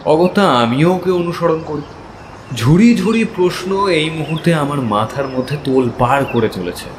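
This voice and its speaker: Higher-voiced male story narrator with reverb. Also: slight background noise. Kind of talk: dramatic scripted first-person audio story narration. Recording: long reverb, music behind. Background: music.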